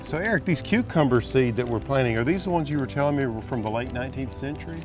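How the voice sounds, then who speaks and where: A man's voice: mid-range voice, animated, fast. A middle-aged man hosting a garden show, chatting outdoors with an admiring, enthusiastic manner. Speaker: host. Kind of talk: friendly on-location interview. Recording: music bed underneath.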